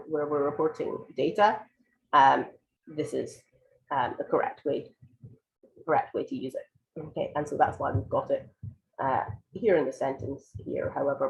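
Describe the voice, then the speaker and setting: A woman teaching an online IELTS writing lesson, croaky and congested from a cold, explaining patiently with many ums and restarts. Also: sits low, lo-fi sound. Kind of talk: live online lesson, answering viewer questions.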